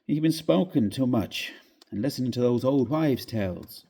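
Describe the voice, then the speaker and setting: A man's voice: medium pitch, animated, moderate pace, creaky, warm tone, breathy edge. A man reading a ghost story aloud, voicing a jovial, brusque young Irish doctor who scoffs emphatically. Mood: teasing, brisk.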